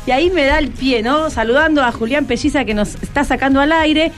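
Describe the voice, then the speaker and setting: A woman's voice: sits high, brisk. A woman with a Buenos Aires Argentine accent hosting a live radio interview with students in a studio. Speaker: radio host. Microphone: studio microphone. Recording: music playing behind.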